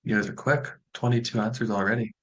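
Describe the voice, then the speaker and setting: Low-voiced young Canadian man presenting. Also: steady tone, quick pace, breathy edge. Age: young adult. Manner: friendly, encouraging, reassuring. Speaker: nursing student presenter. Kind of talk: informal presentation to an online meeting.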